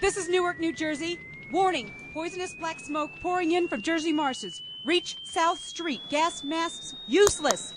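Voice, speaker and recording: A woman's voice: extremely high pitch, measured pace, long pauses, background noise. A woman playing a grave radio announcer reporting an emergency evacuation in an amateur radio play. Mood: urgent warning.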